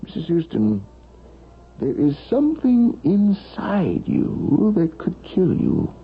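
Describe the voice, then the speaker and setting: An actor playing an earnest, probing doctor in a 1970s radio drama, questioning intensely and insistently. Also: male voice, on the high side, wildly swooping pitch, slow pace, vocal fry, slightly rough, exaggerated voice. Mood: grave, warning. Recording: muffled vintage radio recording.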